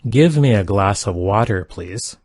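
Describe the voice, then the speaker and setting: A man reading simple English practice sentences aloud, each one twice, in a careful, even delivery. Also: on the low side, a little slow, dry recording, studio quality. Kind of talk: slow repeated sentence reading for learners.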